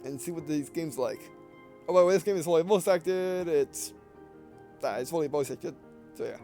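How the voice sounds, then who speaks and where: A man's voice: fairly high pitch, normal speed, mellow, soft background music. A man hosting a gameplay video with casual, rambling, digressive commentary, often trailing off mid-sentence.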